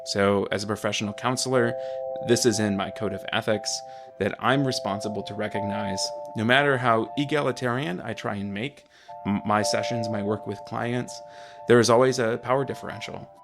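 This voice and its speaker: Male counselor, low gravelly voice, measured delivery, music bed underneath. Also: steady tone. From Michigan. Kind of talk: interview commentary.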